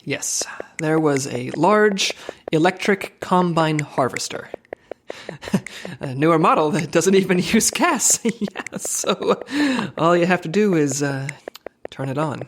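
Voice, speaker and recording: A man playing an officious special agent and team leader in a comedy audio drama, halting and easily flustered. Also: on the high side, animated, fairly bright tone, a little hiss or hum, full character voice. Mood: halting, sheepish.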